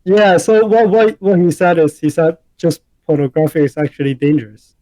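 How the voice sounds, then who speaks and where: A man co-hosting a casual podcast chat, talking in halting bursts, repeating words and filling gaps with um and uh. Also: fairly high pitch, warm tone, no room sound, low-quality recording. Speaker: podcast co-host.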